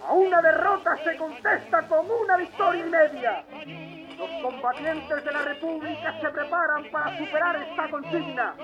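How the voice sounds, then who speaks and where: An actor playing a solemn, defiant Spanish Republican orator giving a declamatory 1930s radio speech. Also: male voice, very high voice, steady tone, normal speed, dull audio. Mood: rousing, defiant. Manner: declamatory, rhetorical. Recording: band-limited old radio sound with music.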